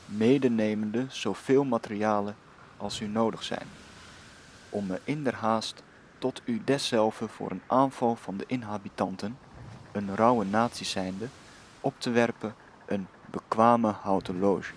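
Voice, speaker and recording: A man's voice: on the low side, steady tone, a little slow, slight background noise. A man reading an old formal text aloud.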